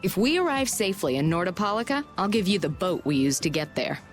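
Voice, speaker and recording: A woman playing a shrewd, confident merchant guild president in a video game, brisk and polished in delivery. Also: lowish voice, very animated, faint music behind. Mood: persuasive, confident. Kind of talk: video game dub acting.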